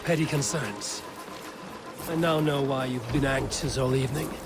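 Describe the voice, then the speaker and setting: An actor playing a proud, loyal Viking warrior in a video game, speaking in solemn, measured, old-fashioned phrases. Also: male voice, mid-range voice, close to an everyday voice. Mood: grave, determined. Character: loyal battle-hardened male Viking warrior, proud and resolute. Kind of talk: dramatic video game acting. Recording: music behind the voice.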